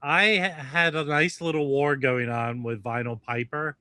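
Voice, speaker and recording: A man co-hosting a casual livestream chat, halting and insistent, often restarting. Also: a little high, steady tone, pushed and bright, clean tone, dry recording. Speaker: livestream co-host.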